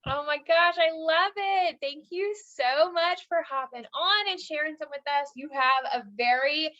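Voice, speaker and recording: A woman with a very high, bright, sharp voice hosts the call and gushes over each speaker in a bubbly way. Her sound is dry and a bit dull, the muffled audio of a video call. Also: unhurried, dry recording. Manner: gushing, bubbly. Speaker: call host.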